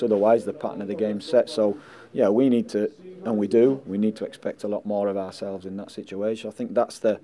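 Man in his forties, football manager, dark heavy voice, lowish, blunt post-match answers. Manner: blunt, measured, repeats "listen" and "um". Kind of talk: post-match press interview. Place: indoors in front of a sponsor board. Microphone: handheld mics held close.

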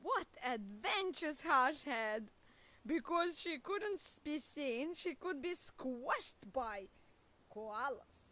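A woman reading a picture book aloud to preschoolers, deliberate and expressive, as if speaking to young listeners. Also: high voice. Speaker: children's storyteller.

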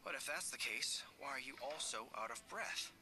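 A man's voice: medium pitch, animated, normal speed, bright, forceful tone, slight background noise. A man voicing an earnest, suspicious, easily flustered young knight in a video game, polite and questioning.

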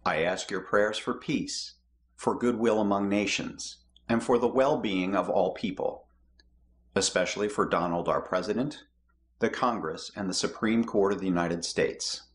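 A middle-aged man reading the prayers of the people in a measured, steady way from his home office. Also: lowish voice. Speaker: lay prayer leader.

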